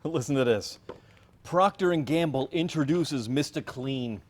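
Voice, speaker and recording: An actor playing an affable, teasing husband who gripes about work in a staged comic play. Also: male voice, fairly high pitch, unhurried. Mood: playful. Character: affable, teasing working husband griping about his boss.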